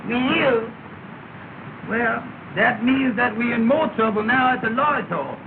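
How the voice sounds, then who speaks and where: A man's voice: extremely high pitch, unhurried. An actor playing an anxious, simple male sidekick in a 1930s comedy film, with a put-on Southern US dialect. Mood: fretful. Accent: stage imitation of Southern US dialect. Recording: tinny, noisy 1930 film soundtrack.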